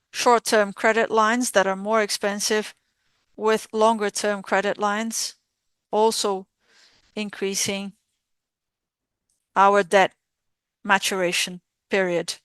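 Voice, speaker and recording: Female conference interpreter, smooth clear voice, dry close sound, formal financial delivery in measured segments. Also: mid-range voice, a bit bright, no echo. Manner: measured, halting phrasing in short segments. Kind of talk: formal earnings call presentation, spoken live.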